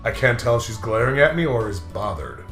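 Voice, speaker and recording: A commentator reading a visual novel aloud with casual, jokey banter in an animated manner. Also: medium pitch, a little fast. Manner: animated, jokey, breaks into laughter often. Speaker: let's play commentator. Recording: roomy echo, music behind the voice.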